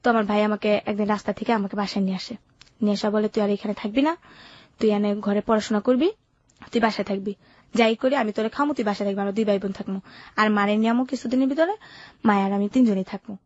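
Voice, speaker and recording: Young female interview guest, Bangladeshi accent, candid colloquial storytelling, quick pace, mid pitch, dry sound. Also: steady tone, a little mellow, no room sound, muffled recording. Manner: colloquial, recounts events with quoted dialogue. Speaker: interview guest telling her life story. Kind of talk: candid personal storytelling in conversation.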